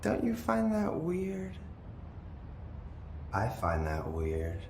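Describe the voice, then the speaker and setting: An actor playing an eerie, calm young man held captive, speaking slowly and unsettlingly. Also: on the high side, creaky, echoey room, a little hiss or hum, heavily acted. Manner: slow, drawn-out, unsettling.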